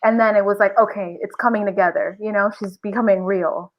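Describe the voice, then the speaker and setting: A woman's voice: mid-range voice, steady tone, a little fast, lo-fi sound. A woman chatting casually and expressively as an enthusiastic voice actress guest on a podcast. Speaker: interview guest, audio drama voice actress.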